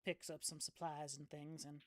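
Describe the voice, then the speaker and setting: Lady doing a friendly village woman for a tabletop game, folksy n hesitant, lowish. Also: level tone, normal speed, dry recording, natural voice. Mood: kindly.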